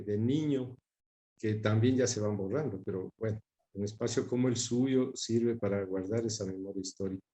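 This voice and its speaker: Mellow Ecuadorian man reminiscing. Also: lowish voice. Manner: hesitant, searching for names. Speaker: interview guest recalling his father. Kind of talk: reminiscing in an interview.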